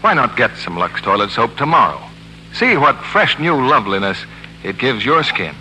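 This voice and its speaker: A man delivering a scripted 1940s radio advertising pitch, polished and salesmanlike. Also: very animated, moderate pace, pushed and bright. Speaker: commercial announcer. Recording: noisy, humming 1940s radio broadcast.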